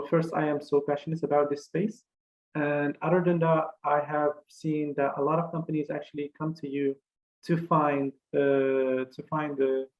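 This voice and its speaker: Hesitant young man on a muffled call. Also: fairly high pitch. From Morocco. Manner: halting, many uh fillers and restarts. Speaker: career fair speaker. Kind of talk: online career talk presentation.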